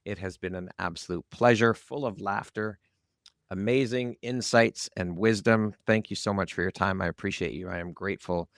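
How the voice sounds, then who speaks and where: A man hosting an interview podcast, speaking in measured, appreciative and rather formal phrases. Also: lowish voice, steady tone, no room sound, studio quality. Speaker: podcast host. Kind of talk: interview hosting with polished outro.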